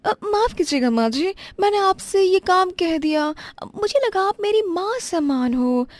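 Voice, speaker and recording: A woman's voice: very high voice, lively intonation, unhurried, dry recording, pitched above the performer's own voice. A woman voicing a rich, stingy young daughter-in-law, sweetly affected and condescending, in a moral story. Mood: falsely sweet.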